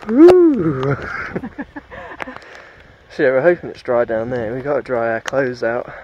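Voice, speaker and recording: A man's voice: mid-range voice, wildly swooping pitch, unhurried, highs cut off, noisy background. A young man, chatting breathlessly into a phone camera while hiking a rainy hilltop. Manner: breathless, chatty, laughing between remarks. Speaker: vlogger. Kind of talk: casual travel vlog narration. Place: outdoors on a rainy misty hilltop. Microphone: phone camera at arm's length.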